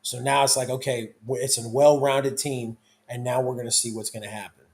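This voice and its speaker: A hoarse young man hosting a casual football talk show, talking loosely with lots of restarts. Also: medium pitch, steady tone, brisk, darkish tone. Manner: loose, conversational, with many restarts. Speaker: sports talk show host.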